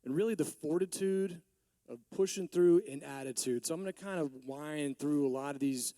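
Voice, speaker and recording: A man in his forties from Texas, a business owner giving a rambling conference talk with many restarts, his voice a little hoarse from allergies. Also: on the high side, moderate pace, warm tone, no echo. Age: 40s. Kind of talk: conference speech.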